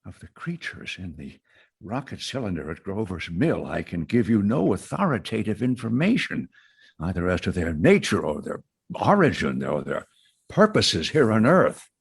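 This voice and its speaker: A learned professor delivering his theory in a radio drama. His voice sits very low and a little husky, yet it swoops widely in pitch as he explains. He speaks in a measured, formal, lecturing manner. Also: sing-song, exaggerated voice. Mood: grave. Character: learned older male astronomy professor.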